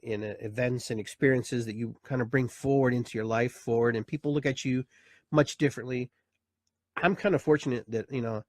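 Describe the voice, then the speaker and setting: A man's voice: mid-range voice, no echo, studio quality. A man in his fifties hosting his own show, talking casually and reflectively, full of fillers and restarts. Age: 50s. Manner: rambling, reflective, with many fillers. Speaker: host and therapist. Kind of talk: casual live talk show monologue.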